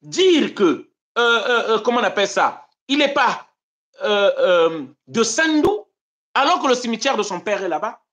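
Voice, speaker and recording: A man's voice: big pitch swings, unhurried. Impassioned Congolese man ranting on a live stream. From Congo-Brazzaville. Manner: impassioned, rhetorical, swings between loud declaiming and low muttered asides. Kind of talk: political commentary on a live stream. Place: home in Europe.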